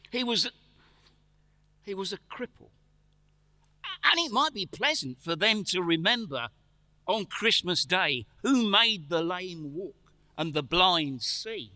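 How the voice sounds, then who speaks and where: A bright, very animated voice for a doting, poor Victorian father acted by a man. He is effusive and tender, taking his time over the words in radio-play style. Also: high voice, dry recording, full character voice. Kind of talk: stage radio play acting. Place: church, live performance.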